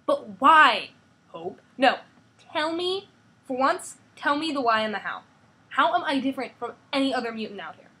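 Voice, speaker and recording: A woman's voice: a little high, expressive, a little fast, fairly bright tone, husky, poor audio. A woman voicing a headstrong teenage girl hero who pushes back with raised, indignant lines. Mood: defiant.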